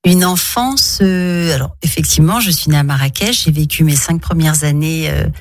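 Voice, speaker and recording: A woman's voice: sits low, normal speed. A woman actress from Morocco, a guest on a radio interview, telling her life story with many hesitations and restarts.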